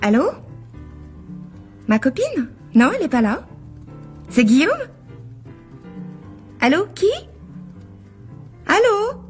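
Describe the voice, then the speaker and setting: An irritated woman picking up the telephone in a radio mystery. Her voice is high and leaps around in pitch, with faint music behind it. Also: big pitch swings, takes it slowly, pauses often, exaggerated voice. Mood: puzzled, annoyed. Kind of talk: French radio crime drama acting.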